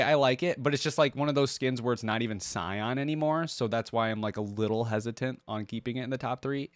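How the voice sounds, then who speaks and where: A man's voice: medium pitch, no room sound. Casual man commentating, in a dry close sound. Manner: informal slangy fan talk, addressing viewers. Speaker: reaction video host.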